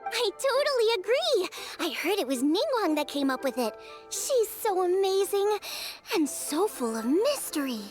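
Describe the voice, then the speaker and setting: Teenage game cook, girlish, bubbly, eager, very high, swooping intonation, music underneath. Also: very animated, unhurried, heavily acted. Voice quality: bright, girlish. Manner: enthusiastic, chatty. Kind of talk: video game voice acting.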